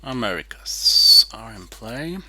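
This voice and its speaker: A man playing a strategy game and thinking aloud in terse, halting remarks, muttering to himself as he weighs his moves. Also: fairly low pitch, animated, bright, forceful tone, low-quality recording. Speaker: board game player.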